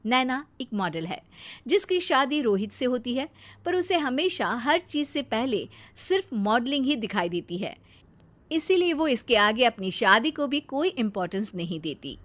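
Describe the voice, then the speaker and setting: A woman narrating a scripted animated family story as the storyteller. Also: on the high side, normal speed.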